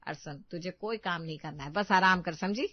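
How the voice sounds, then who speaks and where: A woman's voice: on the low side, fairly quick, no echo, lo-fi sound, natural voice. A woman voicing a harsh, bossy older mother-in-law with a commanding manner in a family drama story. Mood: doting. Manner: scolding, commanding.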